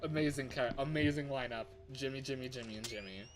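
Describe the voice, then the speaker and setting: A man doing casual live gameplay commentary as a streamer, excitable and reactive, often muttering to himself as he plays. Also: medium pitch, fast talker, noisy background. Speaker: livestreamer. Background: music.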